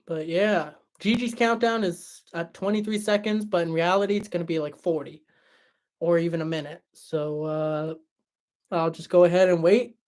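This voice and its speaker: A young man hosting a livestream and answering chat in a relaxed, chatty way, his voice tired after hours of talking. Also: a little high, moderate pace. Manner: relaxed, chatty, many fillers and restarts. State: voice tired after a long stream. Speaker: livestream host reading chat.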